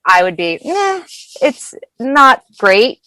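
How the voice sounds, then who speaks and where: A woman's voice: on the high side, sing-song, takes it slowly, sharp tone. An American woman from Massachusetts chatting casually as a podcast guest. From Massachusetts, United States. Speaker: podcast guest, food blogger. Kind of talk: casual chat. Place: closet.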